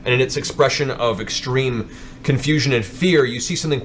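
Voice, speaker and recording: A man's voice: medium pitch, brisk, slight background noise. A man working as game master, giving dramatic narration of a tabletop role-playing game.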